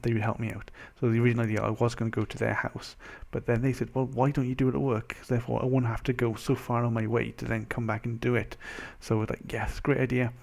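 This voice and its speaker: A young man with a mild Welsh accent, chatting casually as a vlogger in a rambling, animated, self-interrupting way. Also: medium pitch, fast, creaky, hardly any pauses. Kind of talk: casual chat to camera.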